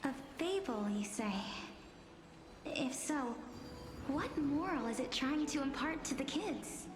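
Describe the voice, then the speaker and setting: A poised young scholar from a game story, a woman with a slightly high voice. She speaks very slowly and formally, with theatrical weight. Long reverb surrounds her, with music playing behind. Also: expressive, noisy background. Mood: playful, curious. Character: poised young woman scholar, playful yet solemnly resigned. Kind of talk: scripted dramatic game dialogue.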